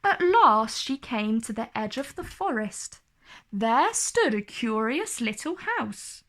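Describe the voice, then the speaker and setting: A woman storyteller with a fairly high voice reads a children's tale. Her delivery is very slow, deliberate and expressive. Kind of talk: scripted story read-aloud for children.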